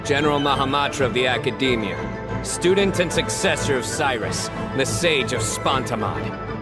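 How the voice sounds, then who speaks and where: An actor playing a stern, resolute, formal general in a video game duel. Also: male voice, mid-range voice, normal speed, lightly acted. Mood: solemn challenge. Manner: formal, serious. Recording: loud music behind.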